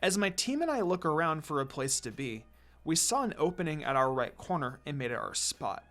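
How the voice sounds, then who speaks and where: A man's voice: fairly high pitch, big pitch swings, fairly quick, fairly bright tone. A man hosting and reading fan fiction aloud in a casual but dramatic amateur storytelling style. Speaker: fan fiction reader and channel host. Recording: music behind the voice. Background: music.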